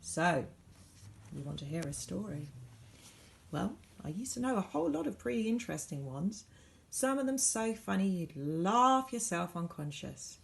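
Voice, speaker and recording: A woman reads a children's story with a very lively, swooping pitch and a creaky edge. She leans into key words and leaves deliberate pauses, in the expressive manner of a teacher at storytime. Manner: dramatic, varied emphasis with deliberate pauses. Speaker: teacher reading a storybook aloud.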